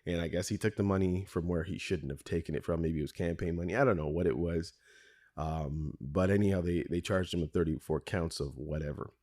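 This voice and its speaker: A man with a very low, raspy voice, worn hoarse by a cold, hosts his own podcast alone. He rambles from one aside to the next, correcting himself as he goes and chuckling often. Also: fairly quick, darkish tone. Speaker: podcast host. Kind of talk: casual solo podcast monologue.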